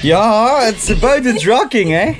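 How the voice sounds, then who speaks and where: A man's voice: high voice, animated, measured pace. A man with a South African accent hosting a casual sailing vlog, chatting playfully and teasingly with his guests. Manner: playful, teasing, often adds "eh". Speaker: sailing skipper and vlog host. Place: small wooden sailboat cabin. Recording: noisy handheld camera on a boat.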